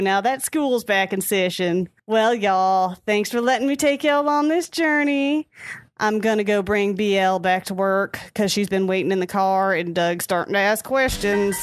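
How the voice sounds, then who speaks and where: A woman's voice: a little high, no echo, close to an everyday voice. A woman playing a breezy, clueless lady, telling folksy stories in a radio comedy monologue. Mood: sweet sign-off. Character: chatty, cheerfully clueless Southern lady sharing life updates.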